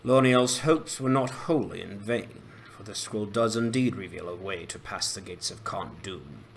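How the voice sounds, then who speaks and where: A man voicing an ancient, noble elf lord in a fantasy game dramatization, speaking formally and solemnly in measured phrases. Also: on the low side, animated, creaky, slight background noise. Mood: solemn counsel. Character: noble, grave male elf lord of great age. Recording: voice over music.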